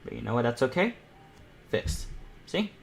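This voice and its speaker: A young man hosting a live online art panel, chatting casually and excitably to viewers as he paints. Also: mid-range voice, normal speed, gravelly. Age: teens or young adult. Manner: informal, excitable, giggly.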